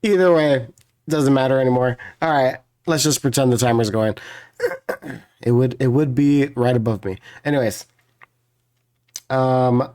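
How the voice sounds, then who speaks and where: A man with a hoarse voice hosts a puzzle video, casually explaining the rules in a rambling, apologetic way. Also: mid-range voice, unhurried, pauses often, a little mellow. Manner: rambling, many fillers and restarts, apologetic.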